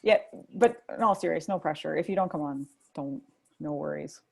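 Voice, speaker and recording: A woman's voice: sits low, a little fast, gravelly, breathy edge. A woman from Canada with a Canadian accent and a slight drawl, chatting casually as a livestream co-host. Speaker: podcast co-host. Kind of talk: casual livestream chat, reading viewer comments aloud.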